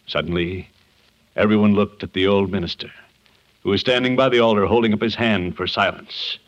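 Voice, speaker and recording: A man's voice: fairly low pitch, steady tone, brisk, natural voice. An American actor in 1950s radio style playing a kindly, sheepish middle-aged man who tells a Christmas story expressively and unhurriedly. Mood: earnest, reverent. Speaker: lead actor of a radio comedy. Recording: dull vintage 1950s radio recording.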